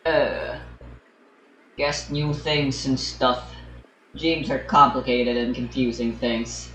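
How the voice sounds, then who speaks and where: A man's voice: medium pitch, takes it slowly, pushed and bright, a little hiss or hum. Wry male gamer in an echoey room. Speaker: gamer commentating on gameplay. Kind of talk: casual game commentary. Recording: roomy echo under game music. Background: game music.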